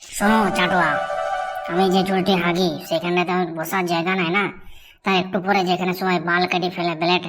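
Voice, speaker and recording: Woman as a sly, fast-talking village wife. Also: on the low side, flat delivery, in a large hall, loud music behind the voice, natural voice. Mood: sly, bargaining. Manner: bawdy, scheming. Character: sly, demanding, flirtatious married village woman. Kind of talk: comedic cartoon voice-over.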